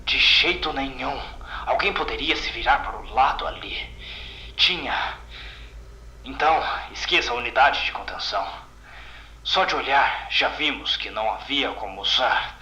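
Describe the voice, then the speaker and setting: A shaken field agent acted by a man recounts horror with bitter, haunted intensity. His Brazilian-accented voice is very tense and bright, set in an echoing space like a horror audio drama. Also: medium pitch, animated, normal speed. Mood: agitated, weary. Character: shaken, bitter male containment agent recounting a horrifying mission.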